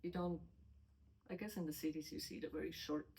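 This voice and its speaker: An American woman talks as a guest in a relaxed interview, her voice a little low. She tells her stories chattily, quoting her own reactions and restarting her sentences. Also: a little fast, slight background noise. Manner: chatty storytelling with self-quotes and restarts. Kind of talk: casual interview.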